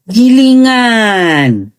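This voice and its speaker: A woman's voice: a little high, big pitch swings, extremely slow. A woman with an eastern Indonesian accent giving casual, sarcastic gossip commentary, repeating phrases for mockery.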